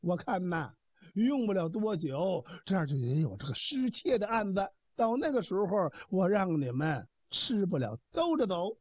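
A man's voice: sits high, big pitch swings, normal speed, husky, dry recording, exaggerated voice. A man voicing Dongfang Shuo, a sly immortal trickster who mocks and mutters asides. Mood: muttering. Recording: tinny phone-like dry sound.

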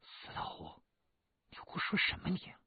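A man's voice: normal speed, no echo, natural voice. A man voicing a proud, indignant young woman. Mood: furious. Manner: indignant, sharp retorts. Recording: tinny lo-fi recording.

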